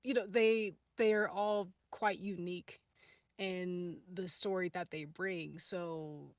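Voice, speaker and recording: A woman's voice: on the low side, steady tone, slow pace, dry recording. Thoughtful woman, dry close sound. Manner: thoughtful, hesitant, deliberating. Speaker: podcast cast or crew panelist. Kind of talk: casual chat.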